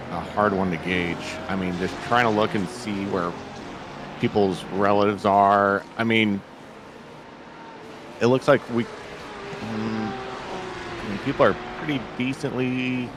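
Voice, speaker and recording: Man, low pitch, casual race analysis, tentative delivery, noticeable background noise. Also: slow pace, long pauses. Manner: tentative, thinking aloud. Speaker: race co-commentator. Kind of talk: casual sim racing commentary.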